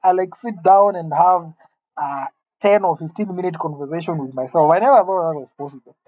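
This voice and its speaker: A man's voice: on the high side, a little fast, darkish tone, highs cut off. A man in his late twenties musing aloud to the camera in a wry, rambling, self-mocking way, alone in an empty room. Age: late 20s. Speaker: vlogger. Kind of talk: musing solo monologue to camera.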